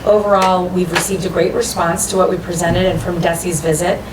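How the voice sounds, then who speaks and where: Hoarse woman reporting at a meeting. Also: lowish voice, little pitch movement, fairly quick. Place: school committee meeting room. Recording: echoey far-off room mic with noise.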